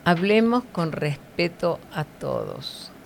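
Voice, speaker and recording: Low, gravelly-voiced woman teaching the Bible. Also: very animated, takes it slowly, a little hiss or hum. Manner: earnest, teacherly, frequent "¿no?" tags.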